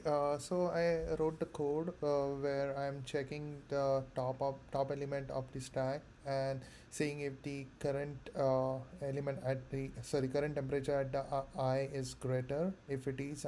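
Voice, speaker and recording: A man thinking aloud haltingly as a candidate in a mock coding interview. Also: mid-range voice, little pitch movement, mellow, a little hiss or hum. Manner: hesitant, halting.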